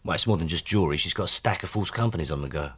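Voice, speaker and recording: An actor playing a weary, defensive police detective and husband with a British accent in a naturalistic radio drama. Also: male voice, low voice, rapid-fire, a bit bright, husky. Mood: weary, confiding. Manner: exasperated, earnest.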